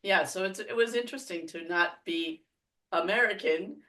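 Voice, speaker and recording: A woman's voice: fairly low pitch, moderate pace, far from the mic. A woman from France, a podcast guest, telling personal stories in casual chat and laughing often. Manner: laughs often, animated storytelling. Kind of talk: casual conversational storytelling.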